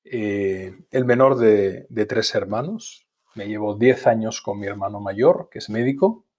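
A man in his fifties with a Spanish accent speaks in a slightly husky voice over an earphone mic on a video call. He reflects calmly and slowly on his family, pausing and restarting words. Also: mid-range voice. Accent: Spanish from Spain. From Spain. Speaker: guest. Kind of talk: reflective personal storytelling. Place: home study with bookshelves.

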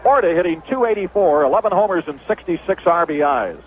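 A man announces baseball play-by-play in a fairly high voice. The sound is a narrow, tinny old AM radio broadcast with some faint noise behind him. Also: animated, brisk. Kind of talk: radio sports commentary.

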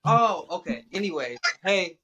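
A man in his thirties introduces himself on a casual podcast panel. His fairly high voice is bright and forceful. He sounds playful and confident. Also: lively intonation, unhurried, slight background noise. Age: 30s. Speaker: panel guest. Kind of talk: casual chat.

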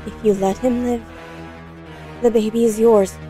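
Actress, young woman, desperate, resolute, slightly high voice, urgent delivery, music behind. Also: fairly even intonation, measured pace, warm tone, natural voice. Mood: desperate, firm. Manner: urgent, firm pleading. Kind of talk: comic book dub voice acting.

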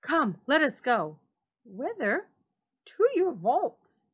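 A woman's voice: high-pitched, big pitch swings, slow pace, fairly bright tone, voice pushed up, full character voice. A woman voicing a boastful, tipsy Italian nobleman, emphatic and exclaiming, in a dramatic story reading. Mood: impatient.

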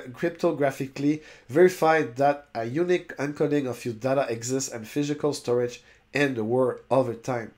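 A man's voice: medium pitch, a little mellow. A man in his forties whose first language is French, reading a website aloud and chatting about it at his desk, often stumbling and restarting on long words. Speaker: crypto YouTuber. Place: indoors, webcam overlay on screen share.

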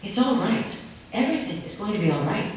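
A woman voicing a tired mother woken at night who soothes her child in a gentle, hushing way, heard from a distant mic in a very echoey room. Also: medium pitch, very animated, fast, slight background noise, close to an everyday voice. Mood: soothing.

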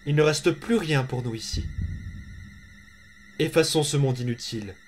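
A man playing a menacing, power-hungry undead boy in an amateur comic dub, deliberate and condescending. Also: a little high, a little hiss or hum, close to an everyday voice. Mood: coldly triumphant. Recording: music behind.

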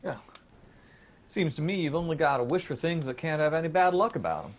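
A man plays a cocky young son in a radio drama, sceptical and playful. His voice sits fairly high for a man and swings freely up and down as he teases. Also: lively intonation, brisk, slight background noise, close to an everyday voice. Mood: sceptical, teasing. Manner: breezy, colloquial, teasing.

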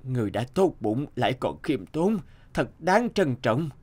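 Elderly king, ailing, stately, gracious, animated intonation, cartoon folktale. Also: mid-range voice, a little slow. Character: ailing old king, a grateful, loving father.

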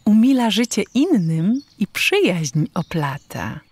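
An actress playing a gentle, loving village mother in a children's radio play, with soft rhymed delivery. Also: medium pitch, very animated, slow, husky, heavily acted. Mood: tender.

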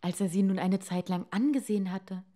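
A woman storyteller narrating a bedtime fairy tale expressively, with deliberate pauses and hushed moments. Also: mid-range voice.

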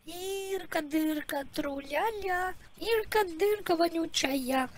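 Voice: very high-pitched, slow. A performer voicing a childish, bratty boy who pleads and swears on prank phone calls. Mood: bratty, taunting. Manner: childish, pleading, foul-mouthed. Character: whiny, bratty boy prankster begging for food.

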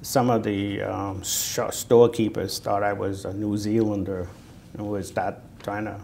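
A man in his sixties with a Boston accent, recalling his army service in a halting, conversational oral history interview. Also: on the low side, little pitch movement, vocal fry, darkish tone. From Natick, Massachusetts, United States. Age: 60s. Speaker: Vietnam War veteran being interviewed. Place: indoor room, seated on camera. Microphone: lapel. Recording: noticeable background noise.

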